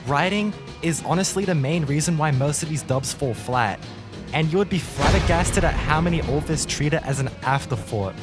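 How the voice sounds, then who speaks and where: A man's voice: a little high, lively intonation, normal speed. A young man delivering a scripted commentary rant, slangy and melodramatic, drawing out words for emphasis. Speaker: video commentator. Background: music.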